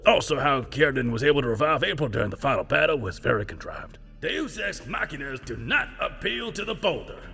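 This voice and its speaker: A man's voice: mid-range voice, very animated, brisk, vocal fry, hardly any pauses, sharp tone, in a large hall, faint music behind, exaggerated voice. A man voicing a bombastic, hulking wrestler in a cartoon parody, pompous and emphatic, speaking of himself grandly. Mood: grandly critical.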